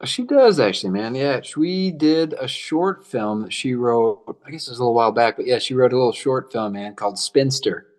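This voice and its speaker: A man's voice: medium pitch, expressive, a little fast, few pauses. A man who is an actor, chatting easily as a podcast guest and often saying yeah and man. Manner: easygoing, says man and yeah a lot. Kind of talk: casual interview chat.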